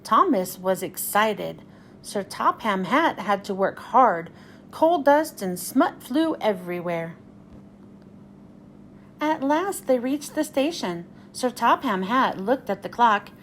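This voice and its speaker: A woman reading a children's storybook aloud in an expressive storytelling manner, giving voice to the dialogue. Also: on the high side, slow pace, a little hiss or hum. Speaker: children's read-aloud host.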